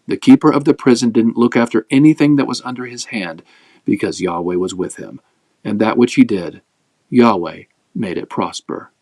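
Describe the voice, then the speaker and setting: A man's voice: mid-range voice, moderate pace, a little mellow, no room sound. Man reading scripture aloud, dry sound. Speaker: host of a daily Bible reading.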